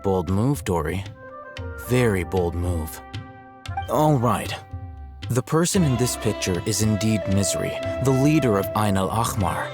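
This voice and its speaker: A man playing a calculating young scholar in an anime game, speaking in a measured, dryly understated way. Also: on the low side, expressive, music in the background. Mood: dryly impressed. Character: composed, calculating young male scholar, cool and dry.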